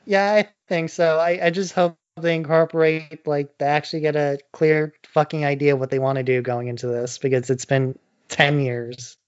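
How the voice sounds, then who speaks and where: A man's voice: a little high, level tone, moderate pace, hardly any pauses, clean tone, no room sound, highs cut off. A man co-hosting a podcast in casual, opinionated chat, blunt and prone to swearing. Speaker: podcast co-host.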